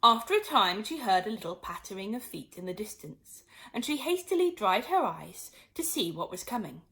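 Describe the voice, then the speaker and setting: A woman reading a children's story aloud in an expressive narrating style. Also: medium pitch, normal speed. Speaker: storybook reader.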